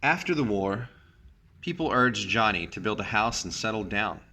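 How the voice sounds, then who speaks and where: Male storybook reader, lowish voice, teacherly and measured, narrating with short explanatory asides. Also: lively intonation, pushed and bright. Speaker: teacher reading a picture book aloud. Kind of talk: storybook read-aloud with brief asides.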